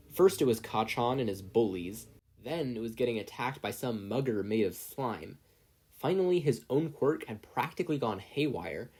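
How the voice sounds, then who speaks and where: Man, husky voice, mid pitch, scripted fiction narration, amateur audiobook reader. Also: a little mellow.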